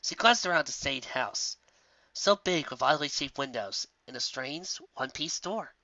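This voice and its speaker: A man's voice: mid-range voice, animated, moderate pace, pushed and bright, no room sound, lo-fi sound. A man narrating a fantasy story dramatically, as a reader of fan fiction.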